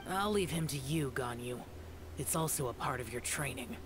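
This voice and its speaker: A man's voice: medium pitch, expressive, normal speed, very noisy, natural voice. An actor playing an aloof, stern young male immortal guardian who speaks curtly and coldly. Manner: curt and cold.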